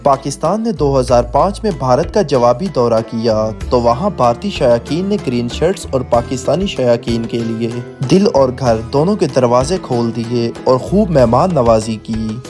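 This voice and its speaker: A man with a Pakistani accent delivers a scripted news report. His voice sits at an average male pitch and his delivery is formal and declarative. Music plays clearly behind him throughout. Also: expressive, normal speed, few pauses. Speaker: news narrator. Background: background music.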